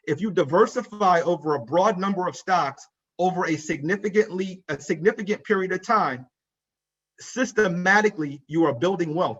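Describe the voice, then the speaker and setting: A man's voice: high voice, fairly bright tone, muffled recording. A man in his forties, a financial advisor giving an emphatic conference talk, repeating key points and speaking straight to the audience. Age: 40s. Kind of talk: conference presentation. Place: conference room.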